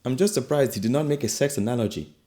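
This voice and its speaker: A man's voice: medium pitch, fast, dark tone, close to an everyday voice. A man voicing a polite, fussy alter ego who answers dryly and skeptically. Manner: dry and skeptical.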